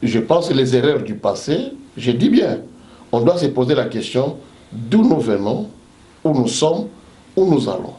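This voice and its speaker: A man's voice: mid-range voice, sing-song, unhurried, dark tone, roomy sound. A man speaking as a pastor in a heated debate, with a preaching cadence and emphatic rhetorical lists. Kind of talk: impassioned debate speech.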